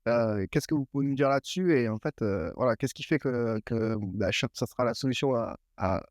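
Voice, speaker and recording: A man's voice: mid-range voice, brisk, no echo, studio quality. Man rambling hesitantly in casual talk. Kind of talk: casual improvised interview.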